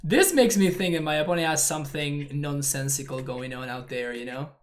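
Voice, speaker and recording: A woman's voice: low voice, a little fast, slight background noise. A woman from Argentina, a native Spanish speaker with an Argentine accent, chatting casually and thinking aloud while streaming a card game. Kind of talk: casual gameplay commentary.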